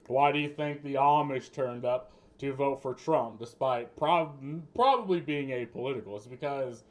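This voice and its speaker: Male streamer, unhurried and rambling, profane off-the-cuff commentary. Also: medium pitch. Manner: rambling, swears often, restarts mid-sentence. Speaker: streamer reacting to news clips. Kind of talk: casual political commentary.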